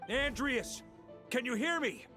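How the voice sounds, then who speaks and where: A man's voice: extremely high pitch, expressive, takes it slowly, sharp tone, soft background music, exaggerated voice. A man playing a seasoned, resolute knight hero in a video game, confident and wry, with a dramatic delivery. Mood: commanding, urgent.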